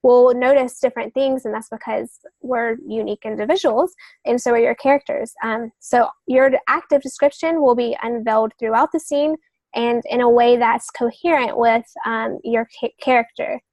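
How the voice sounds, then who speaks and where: A woman's voice: on the high side, fairly even intonation, moderate pace, a little mellow, no room sound. A woman co-hosting a podcast, explaining things in a casual, conversational style. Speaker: podcast co-host, young adult author.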